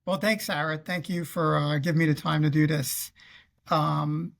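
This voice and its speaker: A man in his fifties with a New York accent recounts his career in an interview on a video call, pausing often as he goes. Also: fairly high pitch, steady tone, gravelly, fairly bright tone. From Queens, New York. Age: 50s. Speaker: guest, retired federal law officer and navy veteran. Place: indoor room on a video call.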